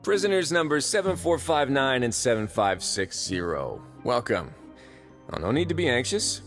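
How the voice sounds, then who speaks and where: An actor playing a calm, courteous young duke who runs a prison, speaking with polished, gently wry politeness in video game dialogue. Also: male voice, mid-range voice, normal speed, soft background music.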